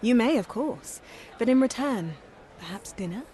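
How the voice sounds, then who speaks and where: A woman's voice: fairly high pitch, animated, normal speed, mellow, natural voice. An actress playing a playful, flirtatious woman who keeps a city's archives in a video game. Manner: playful, teasing. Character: flirtatious female city official, teasing.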